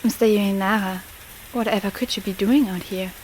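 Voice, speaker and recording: A woman's voice: mid-range voice, fairly even intonation, moderate pace, a little hiss or hum, lightly acted, low-quality recording. A woman playing a haughty, teasing young lady in a visual novel fandub, speaking formally. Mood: coolly amused. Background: rain.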